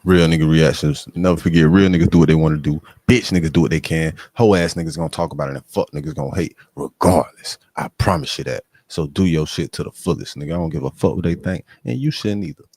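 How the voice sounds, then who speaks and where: A man's voice: a bass voice, quick pace, hardly any pauses, a little mellow, no room sound. A man doing casual reaction commentary as a channel host, blunt, slangy and heavy on profanity.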